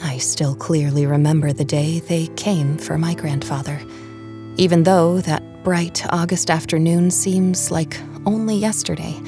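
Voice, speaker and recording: A woman narrates a first-person tale in a notably low voice. Her delivery is measured and dramatic, with hushed phrase endings, and music runs clearly beneath it. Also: fairly even intonation. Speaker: audiobook narrator.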